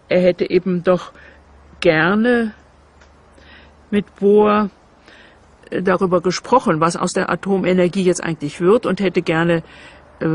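Older male interviewee, high gravelly voice, hesitant piecemeal recollection. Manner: hesitant, broken phrasing.

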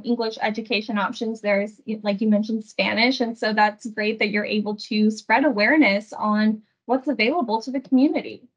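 A woman's voice: a little high, fairly bright tone. A young woman hosting an interview over a video call, asking friendly, polished questions. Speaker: interview host. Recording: slightly dull video call audio.

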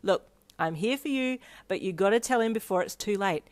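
A woman voicing a teasing, pushy, excitable schoolgirl best friend in a story, with an animated, emphatic manner. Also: medium pitch, fairly quick, close to an everyday voice. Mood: pressuring.

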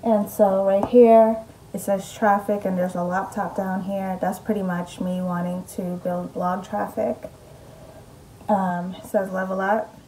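A woman's voice: mid-range voice, level tone, unhurried, warm tone, a little hiss or hum, poor audio. Chatty young woman vlogging at home. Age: young adult. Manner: chatty, upbeat, many ums. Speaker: mom vlogger. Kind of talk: casual vlog chat. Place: bedroom at home, indoors. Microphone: camera in front at close range.

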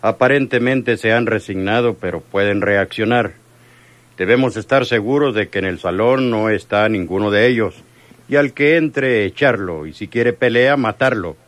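A man's voice: lowish voice, normal speed, no room sound, lightly acted. A man playing a ruthless, wary crime boss in a radio drama, cold and commanding.

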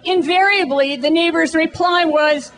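A woman's voice: extremely high pitch, unhurried, over music. A middle-aged American woman giving a prepared protest speech at an outdoor microphone, declamatory and emphatic. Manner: declamatory, emphatic, repetitive cadence. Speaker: activist rally speaker. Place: outdoor city rally stage. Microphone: microphone on a stand.

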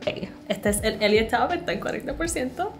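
A woman in her thirties with a Puerto Rican accent, vlogging casually at home and in her car, chatty and expressive, slipping into English mid-sentence. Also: on the high side, normal speed. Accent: Puerto Rican Spanish. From Puerto Rico. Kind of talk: casual vlog, Spanish mixed with English. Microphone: camera at arm's length and dashboard camera. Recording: music bed under the voice.

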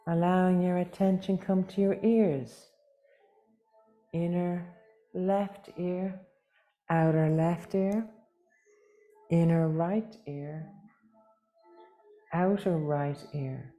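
A woman leading a guided meditation as a yoga teacher, speaking gently and soothingly with long pauses, unhurried. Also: fairly low pitch, fairly even intonation, highs cut off, faint music behind.

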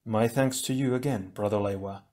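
A man's voice: on the low side, normal speed, a little mellow, natural voice. A man voicing a stern, reserved male ice hero who speaks formally and tersely. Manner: formal, clipped.

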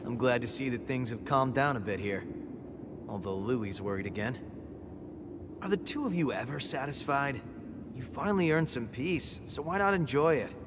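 An actor playing an easygoing, loyal comrade in a video game, relaxed and supportive. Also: male voice, mid-range voice, moderate pace, in a large hall, noisy background, close to an everyday voice.

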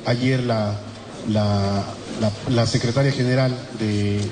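Mexican radio reporter, stumbling and restarting, echoey press room. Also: male voice, fairly low pitch, steady tone. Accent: Mexican Spanish. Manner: halting, with many restarts and fillers. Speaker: radio reporter asking a question. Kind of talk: press conference question. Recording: echoey hall with background noise.

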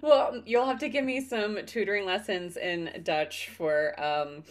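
A woman's voice: medium pitch, fairly even intonation, unhurried. A young adult woman hosting a podcast interview over a video call from home, chatty and bubbly. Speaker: podcast host. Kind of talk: casual interview chat.

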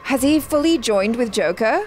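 A woman's voice: a little high, lively intonation, normal speed, darkish tone, soft background music. A woman co-hosting a reaction show, commenting casually on what she watches.

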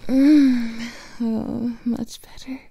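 A woman playing a playful, affectionate vampire lover in an ASMR roleplay, speaking softly and often in whispers into a close microphone. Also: fairly high pitch, fairly even intonation, very slow, vocal fry, breathy edge. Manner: soft teasing, often whispered.

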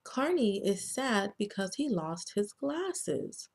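An American woman reading a children's storybook aloud as a narrator, in a deliberate, expressive read-aloud manner. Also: mid-range voice, husky. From United States. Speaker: children's author reading own book.

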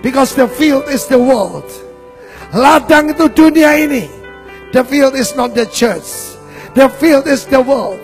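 Brief: high-pitched man, fervent pastor, half-sung prayer, faint music behind. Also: lively intonation, takes it slowly. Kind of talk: closing prayer and exhortation. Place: church service. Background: faint worship music.

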